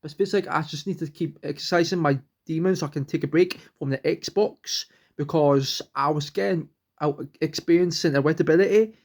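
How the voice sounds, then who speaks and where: A man's voice: on the high side, a little fast, darkish tone. A young man, vlogging at home, rambling earnestly and restarting sentences as he searches for words. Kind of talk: rambling personal vlog.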